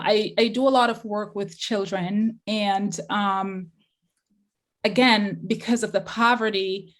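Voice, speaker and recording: A middle-aged woman with a Jamaican accent, a guest talking casually and quickly, with many fillers. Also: medium pitch. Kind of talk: casual interview chat.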